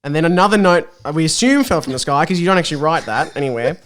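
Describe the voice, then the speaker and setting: A man's voice: on the high side, animated, fast talker, fairly bright tone, slight background noise. A man reading a story aloud as a podcast guest, playful and mock-dramatic, breaking off to comment casually.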